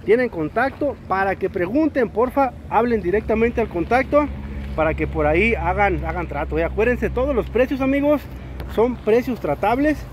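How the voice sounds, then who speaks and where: A Mexican man showing cars at an outdoor market, talking in a lively, salesy way full of slangy diminutives. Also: high voice, moderate pace. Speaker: used-car market vlogger. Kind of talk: walk-around car showcase. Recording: outdoor street noise.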